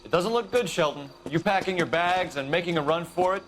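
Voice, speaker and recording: An actor playing a formal, clipped 1940s police detective questioning witnesses in a game cutscene. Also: male voice, high-pitched, brisk, fairly bright tone, low-quality recording. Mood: tense. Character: stiff, earnest male 1940s police detective. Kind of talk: video game cutscene acting.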